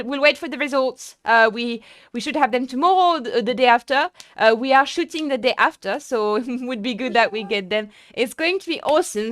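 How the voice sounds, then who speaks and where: A young woman streamer chatting casually and excitedly with viewers, full of fillers and restarts. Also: on the high side. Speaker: sim racing streamer. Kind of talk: casual live-stream chat.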